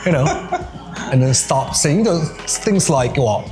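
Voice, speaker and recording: A Japanese man speaks English with an accent that mixes American, British and Australian sounds. He talks in a chatty interview manner at a mid pitch, with many fillers and laughs. Clear music plays behind him. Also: sing-song, measured pace. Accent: mix of American, British and Australian. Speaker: guest. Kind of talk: casual interview chat.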